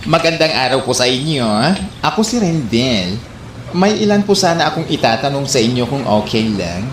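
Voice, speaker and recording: Echoey room, swooping pitch, actor playing polite formal male stranger, ingratiating surveyor, comedy radio drama. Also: animated, normal speed, lightly acted. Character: smooth-talking young male survey taker, scheming stranger. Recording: echoey room, faint background noise.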